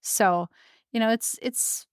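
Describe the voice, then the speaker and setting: A woman from Wisconsin, co-hosting a podcast, telling a family story in a chatty, animated way. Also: a little high, slow pace, smooth, no echo, clean studio sound. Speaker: podcast co-host, antique shop owner. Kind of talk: casual storytelling chat.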